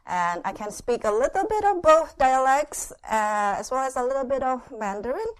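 A middle-aged woman from Indonesia shares her church testimony in short, unhurried phrases, pausing often for an interpreter, with frequent "uh" and "yeah" and light laughs. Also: sits high, expressive. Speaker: guest speaker sharing her testimony.

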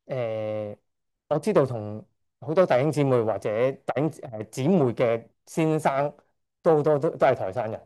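A man's voice: mid-range voice, normal speed, warm tone. A middle-aged man from Hong Kong giving a church testimony, hesitant, often correcting himself and chuckling shyly. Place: church service.

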